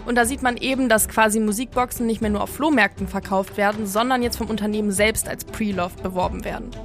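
A woman's voice: mid-range voice, fairly even intonation, fast, soft background music. A woman co-hosting a podcast, giving prepared commentary in an orderly, explanatory manner. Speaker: podcast co-host.

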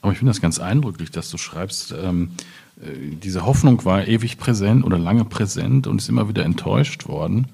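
Husky, low-voiced man musing on books. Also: animated, a little fast, mellow. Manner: musing, hesitant, tag questions. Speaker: author in discussion at a reading event. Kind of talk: conversational literary discussion.

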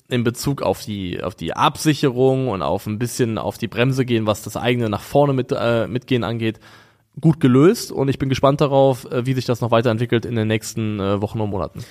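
A man chatting football analysis on a podcast. His voice sits at an ordinary middle pitch. He weighs each point slowly, often pausing on fillers before finishing a thought. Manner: measured, hesitant with frequent ums. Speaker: podcast co-host. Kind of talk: casual football analysis chat.

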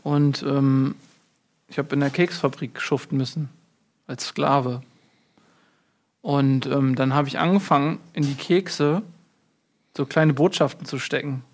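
A man playing a muddled, rambling fortune cookie writer in a deadpan comedy interview. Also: medium pitch, a little slow, pauses often. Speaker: mock interview guest. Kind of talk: improvised comedy sketch.

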